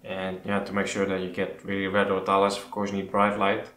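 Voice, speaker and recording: A Dutch man with a fairly low voice speaks casually to his viewers, often pausing to think. His intonation stays very flat. The recording has a clear room echo and faint noise behind him. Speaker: host of an aquascaping channel. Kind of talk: casual question-and-answer talk to camera. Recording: roomy echo with background noise.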